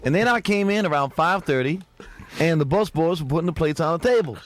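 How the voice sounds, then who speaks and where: A man's voice: fairly high pitch, lively intonation, brisk, a little hiss or hum. A man telling a rambling personal anecdote.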